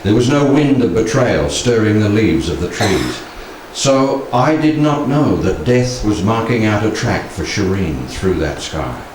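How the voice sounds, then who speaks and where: A man in his sixties with a fairly low voice reads verse aloud in a solemn, measured way. He leaves dramatic pauses between phrases. The sound is heavily reverberant and distant, with a faint layer of noise. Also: big pitch swings, a little mellow. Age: 60s. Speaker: poetry reader. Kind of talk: solemn poetry recitation. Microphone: handheld stage microphone.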